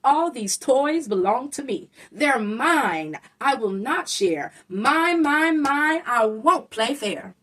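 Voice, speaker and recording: A woman voicing a selfish, stubborn little boy creature in a children's storybook, loud and possessive in a childish way. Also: sits high, animated, slow pace, hardly any pauses, distant mic, pitched above the performer's own voice, heavily acted. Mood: gleeful, greedy. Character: selfish, stubborn young boy creature who grabs toys.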